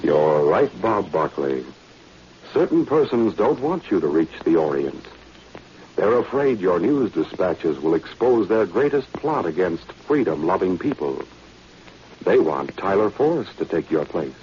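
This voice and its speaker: A man's voice: deep voice, very animated, unhurried, darkish tone. Male announcer, American accent, dramatic vintage radio narration, swooping intonation, muffled recording with faint noise. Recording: dull, noisy old radio recording.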